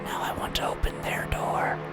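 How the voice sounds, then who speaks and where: A man playing an unhinged narrator descending into madness in a horror audio drama, speaking slowly and haltingly, often hushed to a whisper over loud music. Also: lightly acted. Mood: manic, eerie revelation.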